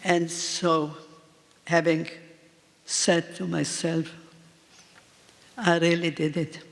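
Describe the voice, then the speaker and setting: Slow, gravelly woman in her eighties. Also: on the low side, flat delivery. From Poland. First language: Polish. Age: 80s. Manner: slow, halting short phrases. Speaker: guest speaker. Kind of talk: answering on stage in a live talk. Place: event hall with audience. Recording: reverberant hall.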